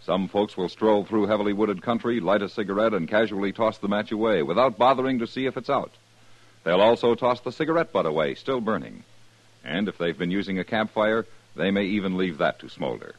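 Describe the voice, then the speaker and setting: A man's voice: on the low side, brisk, dry recording. A man reading a public service notice and the credits as a formal 1950s radio announcer. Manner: smooth, lively, formal announcer delivery. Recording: dull, dry 1950s radio broadcast.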